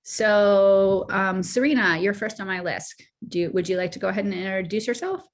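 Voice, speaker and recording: A woman moderating an online panel, friendly and often pausing with fillers. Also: mid-range voice. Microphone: video call.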